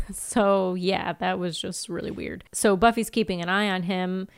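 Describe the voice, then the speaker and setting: Clear-voiced woman chatting through a recap. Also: fairly low pitch, steady tone, measured pace. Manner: informal, giggly, recaps from notes. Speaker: podcast co-host. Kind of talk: casual TV episode recap chat.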